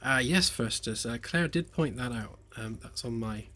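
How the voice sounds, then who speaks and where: Slightly hoarse man, rambling dev stream. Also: medium pitch, moderate pace, sharp tone. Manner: rambling, hesitant, many fillers, self-deprecating jokes. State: slightly hoarse, losing his voice. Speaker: game developer streaming his work. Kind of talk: relaxed live-stream chat while working. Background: faint lo-fi background music.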